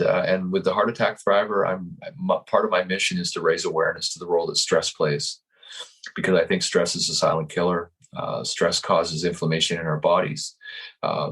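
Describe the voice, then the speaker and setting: Deep husky-voiced calm man. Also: rough recording. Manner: calm, earnest, speaks slowly in thought. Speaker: guest, life coach. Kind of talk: reflective interview answers.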